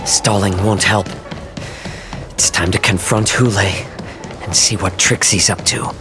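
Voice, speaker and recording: Game role, male actor: shrewd captive, calm facade, lowish voice, measured delivery over music. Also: close to an everyday voice. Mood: resolved. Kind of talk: video game voice acting.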